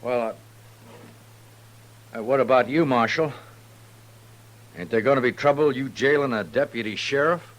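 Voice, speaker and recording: An actor playing a weary, proud frontier settler with a rural American accent, terse and brooding, in an old-time radio western. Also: male voice, mid-range voice, moderate pace, pauses often, natural voice, low-quality recording. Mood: humbled, hesitant. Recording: old radio recording with hum and hiss.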